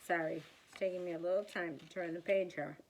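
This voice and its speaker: A woman reading a children's picture book aloud as a storyteller, deliberately and with theatrical emphasis. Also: fairly low pitch, steady tone, slight background noise, rough recording.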